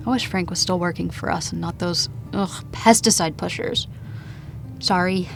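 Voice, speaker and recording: A woman's voice: fairly low pitch, moderate pace, creaky, soft background music. An actress playing a blunt, wry young woman in a naturalistic audio drama, with casual, sweary delivery. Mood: salty, sarcastic.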